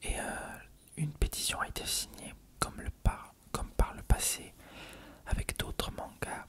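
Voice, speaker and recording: Whispering ASMR narrator, fully unvoiced. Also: fairly bright tone. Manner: measured, explanatory, reading from text. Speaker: ASMR video host. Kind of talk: whispered ASMR reading of an encyclopedia article.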